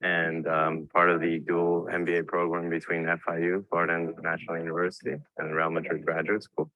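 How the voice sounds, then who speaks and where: A man from New York, a graduate student giving a relaxed testimonial in a promotional video, with many "you know" fillers. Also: low-pitched, brisk, dry recording, narrow, tinny audio. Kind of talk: testimonial interview.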